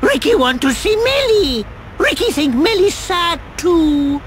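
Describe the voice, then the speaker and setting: A woman's voice: very high voice, animated, a little slow, a little hiss or hum. A woman voicing a small, cheerful, childlike male creature in a video game, speaking earnestly in simple broken grammar. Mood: cheerful, eager.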